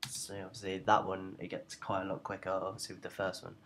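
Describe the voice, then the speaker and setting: A young man with a low-pitched, noticeably creaky voice talks through a software tutorial informally. He explains haltingly, feeling his way through each point, over faint background noise. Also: monotone. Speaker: student tutorial presenter.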